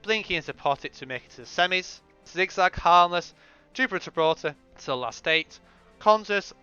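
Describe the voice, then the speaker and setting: A man with a fairly high, bright and crisp voice talks through his predictions in a chatty, hedging way, often restarting mid-phrase. His voice is a little worn from recent illness. The recording is dry and close but slightly dull, with faint music behind. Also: animated, fairly quick, no room sound. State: recovering from illness, voice going. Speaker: GeoGuessr video creator. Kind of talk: casual spoken predictions.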